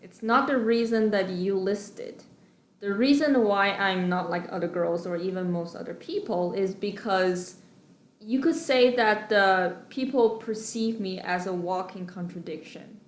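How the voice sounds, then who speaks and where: A young adult woman from China, Chinese as her first language, talking through her views in a measured, explanatory way with frequent pauses and ums. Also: medium pitch, reverberant. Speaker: video responder. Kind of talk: monologue.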